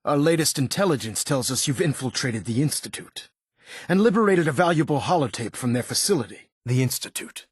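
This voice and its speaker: Game role: commanding military man, formal and zealous, slightly rough husky voice, dry studio sound. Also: medium pitch, animated, dry recording. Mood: resolute, zealous. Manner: formal, authoritative, measured. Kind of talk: video game dialogue acting.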